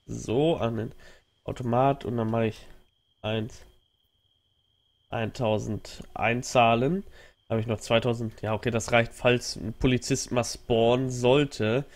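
A young man with a stuffy nose from a cold, rambling casually and thinking aloud while he streams a game on a headset mic at home. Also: medium pitch. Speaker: livestreaming gamer. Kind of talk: casual let's play commentary. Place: home gaming setup indoors.

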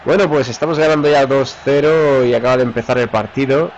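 Man, gamer play-by-play, slightly dull mic, faint hiss. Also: mid-range voice. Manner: excited, rapid name-calling of players. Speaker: gamer commentating his own match. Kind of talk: live play-by-play commentary.

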